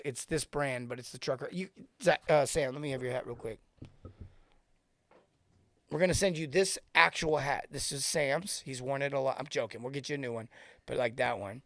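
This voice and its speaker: A man in his forties hosting a casual live-stream coaching talk, joking and rambling off into asides with informal, animated banter. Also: medium pitch, gravelly, no room sound. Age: 40s. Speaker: host and sales coach for plumbers.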